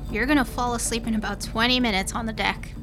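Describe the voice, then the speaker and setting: A tough, teasing female space pirate captain played by a woman in a radio drama. Her voice sits fairly high and she banters wryly, with music clearly playing behind her. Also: fairly even intonation, fast, lightly acted. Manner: wry, bantering. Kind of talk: radio play acting.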